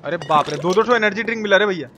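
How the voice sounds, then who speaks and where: A man's voice: sits high, very fast, bright, forceful tone, faint music behind. A man from India with a Bihari accent gives casual, colloquial live gameplay commentary peppered with swearing. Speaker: game streamer. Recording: clearly noisy background.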